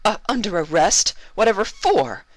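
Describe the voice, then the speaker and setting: Man doing a pompous, high-pitched show-off mare. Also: sing-song, normal speed, dry recording. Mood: alarmed. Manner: obnoxious, pompous, speaks of self in third person. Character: boastful, haughty female magician pony, theatrical and vain.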